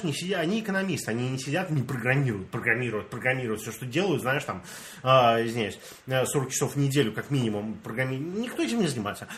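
A young man from Russia, telling a story in casual chat with friends and acting out the dialogue. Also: medium pitch. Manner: animated storyteller, acts out dialogue, laughs often.

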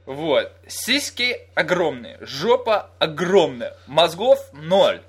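A young man from Russia trades crude jokes and anecdotes in relaxed conversation. His voice sits a little high, and he slips into mimicking the people in his stories. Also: slow pace, husky. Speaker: friend in casual podcast chat. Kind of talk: casual chat.

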